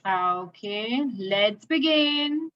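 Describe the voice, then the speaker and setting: A woman's voice: mid-range voice, expressive, very slow. Woman hosting kids reading thing, takes her time, encouraging, asks questions, muffled video call audio. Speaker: host of a children's read-aloud session. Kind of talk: casual conversational chat with a child. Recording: dull lo-fi online call audio.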